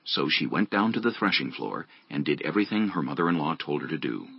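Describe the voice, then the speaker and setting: Deep, gravelly male Bible narrator. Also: big pitch swings, fast, no echo, muffled recording. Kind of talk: scripted Bible audiobook narration.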